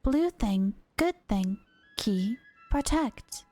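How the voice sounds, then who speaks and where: A woman voicing a shy, gentle sea creature from a video game, speaking in halting fragments of one or two words. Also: a little high, lively intonation, soft background music, pitched below the performer's own voice, heavily acted. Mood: halting, earnest. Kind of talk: video game dialogue read aloud.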